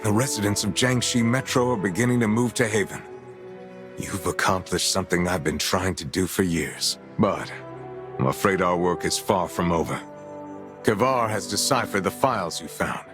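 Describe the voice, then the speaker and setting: Low-voiced grave commander played by a man. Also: music in the background, natural voice. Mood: grave, resolute. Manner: formal, measured. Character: grave, measured male commander briefing a warrior. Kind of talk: video game mission briefing.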